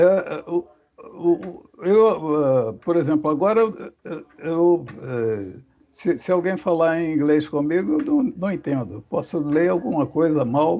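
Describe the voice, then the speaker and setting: A man leading a casual religious study discussion, halting and searching for words with many restarts, over a video call. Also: on the high side, darkish tone. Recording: dull lo-fi call audio.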